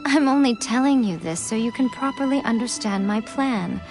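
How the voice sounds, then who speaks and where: A sly fox spirit in woman's form for a video game. She is composed and knowing, with a highish voice and a teasing manner, over clear background music. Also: lively intonation, normal speed. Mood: dry, amused. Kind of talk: video game dialogue acting.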